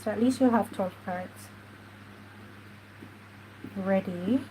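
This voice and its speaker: Slow-talking woman, stuffy. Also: medium pitch, dark tone, a little hiss or hum, rough recording. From Jamaica. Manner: chatty, unhurried, talks while working. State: stuffy nose. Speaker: craft journaling host. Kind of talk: casual craft tutorial chat.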